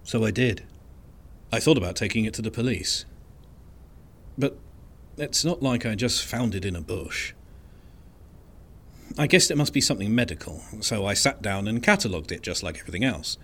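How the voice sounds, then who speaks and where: Low-pitched male archivist, dry, understated, resigned, hesitant pauses, conversational audio drama monologue. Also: animated, fairly bright tone. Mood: matter-of-fact, wry. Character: unassuming male museum archivist, wry and resigned. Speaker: narrator of an audio fiction podcast.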